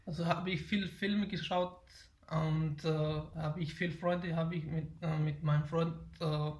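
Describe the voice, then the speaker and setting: Young man from Afghanistan, Pashto native speaker, flat and rather high voice, many pauses, echoey room. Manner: hesitant, frequent fillers and restarts. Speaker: interviewee, German learner. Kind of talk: interview about learning German.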